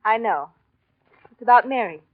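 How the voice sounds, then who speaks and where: High-pitched, coaxing 1940s radio femme fatale, played by an actress. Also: female voice, animated, normal speed, dry recording, close to an everyday voice. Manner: coaxing, insistent, silkily controlled. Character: scheming, possessive mistress, coolly cruel wife. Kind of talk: 1940s radio drama acting. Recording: dull vintage 1940s radio recording.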